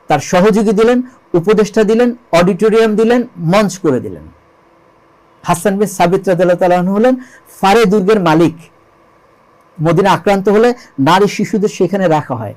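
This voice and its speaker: A man's voice: high voice, animated, normal speed, pauses often, darkish tone, low-quality recording. A Bangladeshi man speaking as an interview guest, telling religious history in an explanatory storytelling way, emphatically repeating key phrases and posing rhetorical questions. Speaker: interview guest, lyricist and composer.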